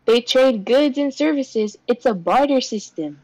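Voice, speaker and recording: A woman with a high, very lively voice chats casually with fellow readers. She sounds informal, and the recording is dry. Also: unhurried, no echo, rough recording. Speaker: amateur voice actor and reader. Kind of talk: casual chat over a comic readthrough.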